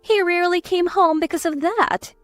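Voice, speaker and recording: A woman's voice: very high-pitched, normal speed, dry recording, soft background music, voice pushed up. A woman voicing a confident, protective aunt who speaks in a pointed, composed way in a dramatized story. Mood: composed, accusing. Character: confident, cutting, protective aunt exposing cheaters. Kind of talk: scripted story dialogue.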